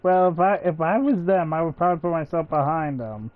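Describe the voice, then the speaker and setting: A man's voice: on the high side, brisk. A man doing casual livestream commentary while he plays a video game. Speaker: gaming streamer. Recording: dull, slightly lo-fi mic.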